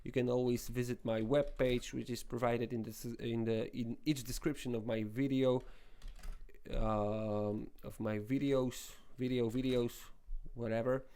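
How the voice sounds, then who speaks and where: A man's voice: mid-range voice, level tone, measured pace, dark tone, smooth. A young man with a Polish accent, a non-native English speaker, hosting a web development tutorial in a rambling casual way with many restarts and fillers. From Poland. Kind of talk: casual screen-recorded tutorial talk.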